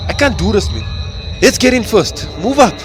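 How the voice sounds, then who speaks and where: A man's voice: high-pitched, sing-song, moderate pace, over music. A man playing a panicky, cautious young man under fire in an audio drama. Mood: panicked.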